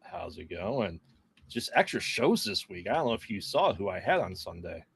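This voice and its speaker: A man's voice: deep voice, very animated, normal speed, vocal fry, fairly bright tone, slight background noise. A man co-hosting a casual podcast, making dry, laid-back jokes. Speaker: podcast co-host.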